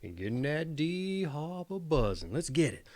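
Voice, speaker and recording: A man's voice: a little high, big pitch swings, normal speed, a little mellow, no room sound, faint music behind. A man reading a fairy tale as host and storyteller, in a playful, theatrical and dramatic way.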